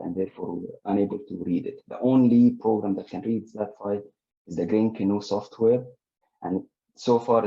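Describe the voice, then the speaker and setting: A man's voice: fairly low pitch, warm tone, highs cut off. A man giving an academic webinar presentation, talking through results with frequent fillers and restarts.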